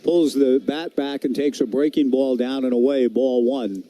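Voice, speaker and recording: An American man calling baseball play-by-play on the radio in a measured, articulate, descriptive way. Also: medium pitch, steady tone, mellow. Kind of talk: sports play-by-play commentary.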